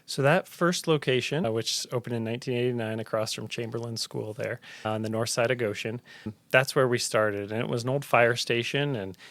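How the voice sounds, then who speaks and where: A man's voice: mid-range voice, steady tone, a little fast, a bit bright, dry recording. A middle-aged man from Tanzania, a health center director, answering interview questions in an earnest, reflective storytelling manner. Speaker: health center executive director, podcast guest.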